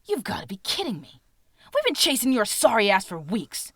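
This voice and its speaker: A woman's voice: on the high side, wildly swooping pitch, moderate pace, a bit bright, dry recording, studio quality, voice pushed up, full character voice. A woman voicing a menacing, short-tempered young male thug in an audiobook, snarling and threatening. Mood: exasperated.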